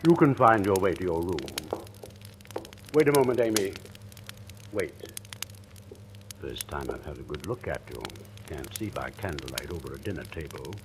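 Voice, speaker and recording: Casting: domineering, volatile master of the house, low voice, dramatic swings, vintage radio recording with noise. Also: male voice, expressive, moderate pace, long pauses. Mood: menacing calm. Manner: imperious, commanding, volatile. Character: domineering, sardonic writer, rumoured wife-killer, menacing. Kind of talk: 1940s radio drama acting.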